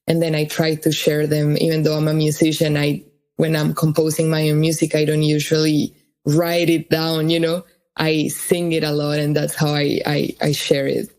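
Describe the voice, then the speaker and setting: A woman's voice: low voice, monotone, normal speed, a little mellow. A woman from Colombia, a cellist and singer, answering interview questions in a thoughtful way with many fillers and restarts. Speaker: musician guest.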